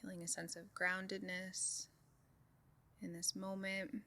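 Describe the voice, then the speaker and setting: Slow, even-toned woman guiding meditation. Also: mid-range voice. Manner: calm, soothing, unhurried with long pauses. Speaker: meditation group leader. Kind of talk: guided meditation, soft spoken instruction.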